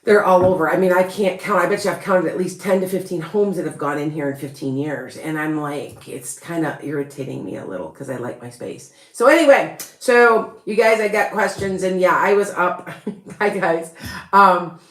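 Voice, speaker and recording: A woman's voice: lowish voice, moderate pace, roomy sound. A woman with a Chicago accent, hosting a rambling, chatty live stream as a psychic reader and laughing often. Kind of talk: casual live-stream chat.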